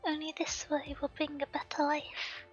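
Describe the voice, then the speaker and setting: A woman reading as a gentle, earnest village girl. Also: sits high, completely flat delivery, normal speed, natural voice. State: hoarse. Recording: slightly dull stream audio, faint game music.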